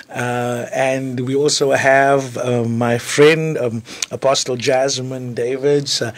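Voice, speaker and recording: A middle-aged man, a pastor speaking on a radio devotional show in a small studio, his voice hoarse from travel, talking fluently with restarts and easy laughs. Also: mid-range voice. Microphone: studio mic, headphones.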